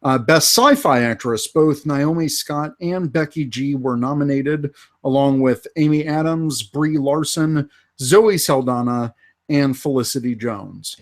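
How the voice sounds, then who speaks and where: A man co-hosting a live fan podcast, casually going through toy and movie news with many pauses and fillers. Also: medium pitch. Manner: unhurried, lots of uh fillers. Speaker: podcast co-host.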